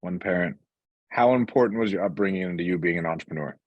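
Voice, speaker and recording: Fast, low-voiced male podcast host. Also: no room sound, muffled recording. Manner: slangy, bro-heavy, swearing. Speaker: podcast host interviewing entrepreneurs. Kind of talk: casual podcast interview.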